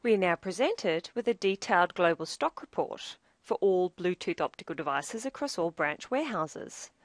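A woman presenting a software demo as scripted instructional narration, measured and explanatory. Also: on the low side, expressive, no room sound.

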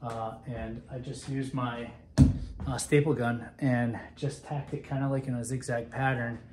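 A man's mid-pitched voice walking viewers through a build in a bare, echoing wooden loft, the sort heard on a weekend workshop vlog. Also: steady tone, measured pace. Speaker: DIY woodworker presenting a project video. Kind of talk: explanatory vlog to camera. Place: large empty timber barn loft, indoors. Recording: roomy echo, faint background noise and clicks.

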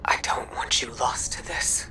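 An actress playing a grave, caring mentor in a video game, earnest and measured, worried yet firm. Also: female voice, whispered. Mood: worried, reproachful. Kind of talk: dramatic video game dialogue. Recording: distant voice under loud music. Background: game music.

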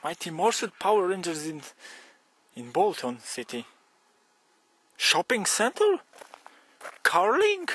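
Slow playful man with a Polish accent. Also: high voice, wildly swooping pitch, fairly bright tone, breathy edge. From Poland. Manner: playful, broken English, slow and exclaiming. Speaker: cyclist vlogger. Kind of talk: joking phone-call vlog. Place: outdoors on a country cycle path. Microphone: action camera on helmet or bike.

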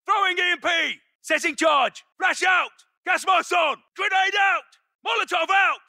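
Tough British special forces soldier for a shooter game. Gravelly, very forceful, terse and commanding. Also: male voice, extremely high pitch, measured pace, no echo, studio quality, heavily acted. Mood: urgent shouting. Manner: clipped, commanding, terse radio-style callouts. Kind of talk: video game military combat barks.

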